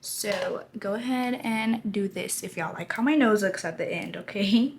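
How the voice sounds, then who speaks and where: A woman in her twenties, her voice raspy from a lost voice, chatting casually while doing her makeup, laughing often. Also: medium pitch, measured pace, a little hiss or hum. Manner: chatty, rambling, laughs often, says y'all. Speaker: beauty vlogger. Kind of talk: casual get-ready-with-me chat.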